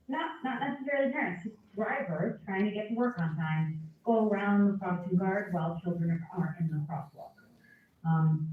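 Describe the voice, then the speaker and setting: A woman giving public comment as a resident, earnest and polite, halting with fillers. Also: fairly low pitch. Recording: tinny, echoey, from far off.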